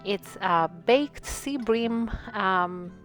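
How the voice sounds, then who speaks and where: A woman's voice: medium pitch, lively intonation, extremely slow, soft background music. A woman from Albania, a native Albanian speaker, hosting a cooking video and chatting through the steps with frequent ums and likes. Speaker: cooking channel host. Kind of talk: casual cooking tutorial voice-over.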